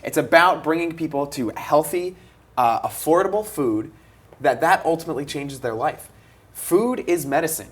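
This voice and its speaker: A man co-hosting an online pitch show, talking with enthusiasm and restarting with fillers. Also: lively intonation, normal speed. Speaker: co-host. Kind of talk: casual chat.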